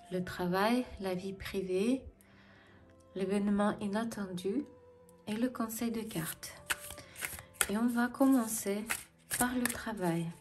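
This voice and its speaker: A woman with a Ukrainian accent giving a tarot reading to viewers as host, in deliberate, halting phrases. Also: medium pitch, a little mellow. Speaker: tarot reader and channel host.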